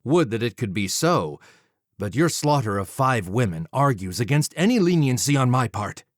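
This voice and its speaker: A man's voice: medium pitch, sing-song, moderate pace, dry recording, lightly acted. Guy voicing a nonchalant demigod swordsman, formal, coolly confident, audiobook style, dry close sound. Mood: stern. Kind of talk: audiobook character acting.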